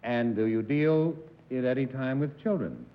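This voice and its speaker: A man on a television quiz panel, joking deadpan and asking questions with sardonic wit. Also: mid-range voice, moderate pace. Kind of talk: dry comic banter and questioning. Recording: dull 1960s television broadcast audio.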